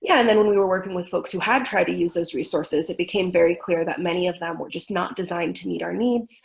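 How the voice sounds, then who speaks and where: A woman's voice: lowish voice, quick pace, creaky. A young woman from Miami presenting a webinar in an earnest, thoughtful way with frequent fillers. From Miami, United States.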